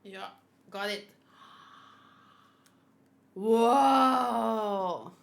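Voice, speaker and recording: A woman's voice: a little high, extremely slow, gravelly. A woman streamer chatting casually and giggling as she unboxes and assembles a figure, answering her chat.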